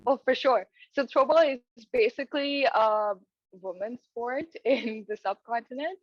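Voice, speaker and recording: A young woman from Pakistan answers interview questions in a steady mid-range voice, earnest and a little halting. The sound is close and dry but dull, like a lo-fi video call. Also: a little high, no echo. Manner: earnest, with many hesitant fillers and restarts. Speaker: residency applicant. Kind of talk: mock job interview answers.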